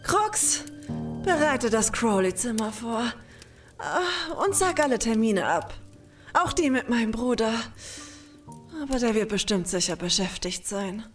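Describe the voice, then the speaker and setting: An imperious, mysterious occult seer from a horror radio play, acted by a woman. Her voice is fairly high and unhurried, sinking toward whispers, with faint music behind it. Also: expressive. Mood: haughty. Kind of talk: German fantasy horror audio drama acting.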